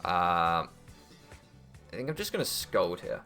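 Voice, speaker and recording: Bright-voiced young man commentating a game. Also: low-pitched, sing-song, measured pace, faint music behind. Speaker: gaming YouTuber. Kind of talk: casual strategy game commentary, thinking aloud.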